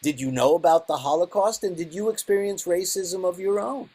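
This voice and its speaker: An older man from the Bronx in New York, speaking as an interviewer in a conversational style with a husky voice. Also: high-pitched, fairly quick, warm tone. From Bronx, New York, United States.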